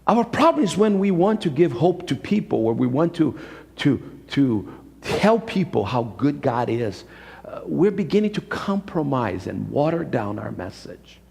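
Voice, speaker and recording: A man's voice: medium pitch, very animated, measured pace, creaky, a little mellow. A man in his fifties with a Brazilian accent, a native Portuguese speaker, preaching a sermon emphatically and repeating phrases for effect. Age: 50s. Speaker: pastor. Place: church sanctuary. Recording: reverberant hall, live-streamed church service.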